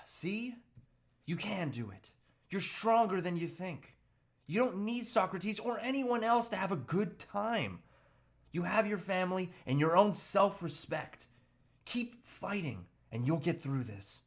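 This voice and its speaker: A man's voice: high-pitched, animated, unhurried, heavily acted. A man playing a troubled, self-pitying husband and father who earnestly resists temptation, with hesitant, pleading delivery in a script read. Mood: pleading, self-coaxing. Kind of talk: live table read of a movie script.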